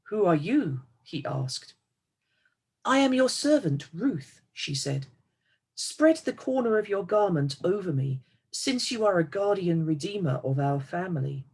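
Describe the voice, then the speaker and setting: A woman reading a Bible story aloud as measured narrative, in short, deliberate phrases. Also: lowish voice, darkish tone, husky. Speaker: scripture reader.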